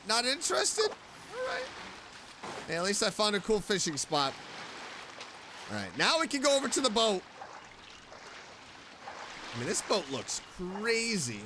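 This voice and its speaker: A man doing casual gaming commentary, chatting excitedly to himself and his game character. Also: high-pitched, background noise. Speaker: streamer, video game let's player. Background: game music.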